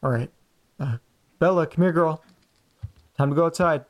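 Man playing an anxious, hesitant young guy, higher-pitched voice. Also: normal speed, natural voice. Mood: hesitant, apologetic. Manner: hesitant, rambling. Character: anxious, scatterbrained young man, polite and easily flustered. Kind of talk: naturalistic audio drama acting.

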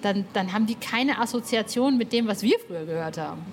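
A woman's voice: mid-range voice, animated, fast, a little hiss or hum. A woman hosting a podcast interview in a casual, enthusiastic, slangy way.

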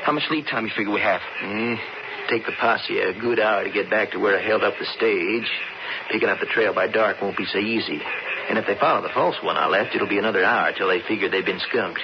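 An actor playing a young, plainspoken, hurried cowboy outlaw in a radio western, with an American accent and folksy phrasing. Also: male voice, lowish voice, lightly acted. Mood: urgent, scheming. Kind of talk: radio western drama acting. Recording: very noisy, dull vintage radio recording.

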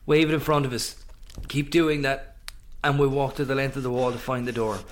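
A man's voice: mid-range voice, level tone, a little fast, slight background noise, lightly acted. An actor playing a desperate, protective male survivor in a horror audio drama, urgent and strained.

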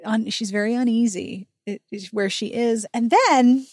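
A woman's voice: fairly high pitch, a little slow, darkish tone, no room sound, studio quality. An American woman hosting a casual podcast book recap, emphatic and indignant, swearing freely. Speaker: podcast host.

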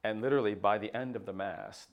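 A middle-aged man speaks in a lowish voice. He answers an interviewer slowly and reflectively, stumbling into frequent restarts and fillers. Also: fairly even intonation, vocal fry, lo-fi sound. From United States. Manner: hesitant, many restarts and fillers. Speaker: papal nuncio, interview guest.

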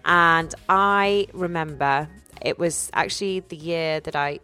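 A woman in her forties co-hosting a casual chat, telling personal stories in a candid, animated way. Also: sits low, slow pace, clean tone, soft background music. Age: 40s.

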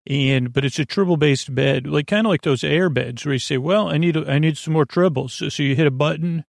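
Creaky-voiced man rambling softly off topic. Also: fairly high pitch, dry recording, studio quality. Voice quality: creaky, gravelly. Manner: meandering, halting, repeats and corrects himself. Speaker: podcast host. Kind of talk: rambling, whimsical bedtime monologue.

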